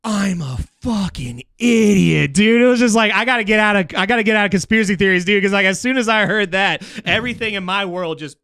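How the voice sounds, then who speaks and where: A young man telling casual comedic stories in a rambling, animated, slangy way with plenty of swearing. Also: high voice, fairly quick, hardly any pauses, no echo, clean studio sound. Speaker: podcast guest, comedian.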